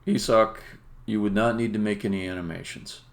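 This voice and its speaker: Low-pitched man with creaky voice, explaining haltingly. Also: steady tone. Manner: halting, explanatory, pauses mid-sentence. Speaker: game developer, stream host. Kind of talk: casual live demo commentary.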